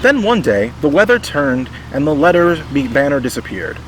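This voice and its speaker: A man reads a children's story with expressive, animated delivery. His voice is fairly high and moves widely in pitch. There is clear noise behind him, like traffic outdoors. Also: normal speed. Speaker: storybook reader.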